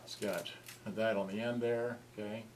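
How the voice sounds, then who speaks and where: A man giving a casual, unscripted gadget review in a halting, rambling way, often trailing off mid-thought. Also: lowish voice, little pitch movement. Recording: distant mic with clear noise and clicks.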